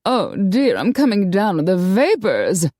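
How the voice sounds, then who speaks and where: A woman's voice: mid-range voice, very animated, a little slow, warm tone, dry recording, clean studio sound. A snobbish, genteel lady vampire from a novel, voiced by a woman narrator, prim and easily flustered. Her affected speech swoops dramatically. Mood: fretful, genteel.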